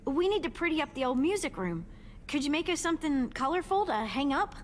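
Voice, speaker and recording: A woman's voice: sits high, sing-song, fairly quick, a little hiss or hum. A woman playing a kindly, upbeat, slightly awkward teenage girl in a casual, friendly way for a cinematic video game. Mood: hopeful, friendly.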